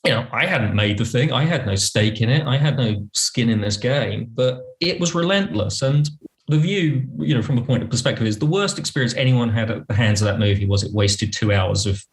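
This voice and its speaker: A man's voice: medium pitch, lively intonation, a little fast. A man speaking as a podcast guest, discussing film conversationally in an articulate, opinionated way with emphatic phrasing. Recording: roomy, echoing sound.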